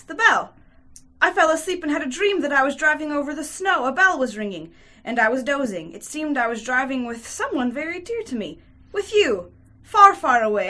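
Woman playing a dreamy, tender young woman, high voice. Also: sharp tone. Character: Grushenka, tender young Russian woman waking dreamily from sleep. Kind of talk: dramatic novel reading.

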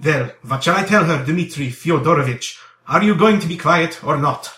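A man's voice: fairly high pitch, normal speed, sharp tone, pitched above the performer's own voice. A man voicing a kindly old police commissioner in an audiobook, compassionate and flustered, rambling and coaxing earnestly. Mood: fatherly, coaxing. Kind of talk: dramatized audiobook character dialogue. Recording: roomy echo.

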